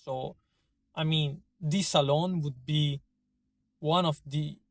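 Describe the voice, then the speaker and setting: A man with an Italian accent talking to his viewers in a casual monologue, halting often with fillers and restarts. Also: a little high, steady tone, no room sound. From Italy. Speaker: vlogger. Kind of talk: casual opinion monologue to camera.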